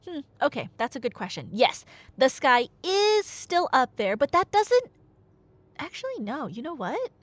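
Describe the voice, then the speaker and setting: A woman playing a nerdy girlfriend in an ASMR roleplay, telling Greek myths conversationally with playful asides and dramatic pauses. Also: sits high, big pitch swings, a bit bright, no room sound, full character voice. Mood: playful rambling.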